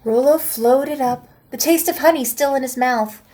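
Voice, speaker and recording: A woman teacher reading a children's picture book aloud with slow, expressive storytime delivery. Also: high-pitched, a little hiss or hum.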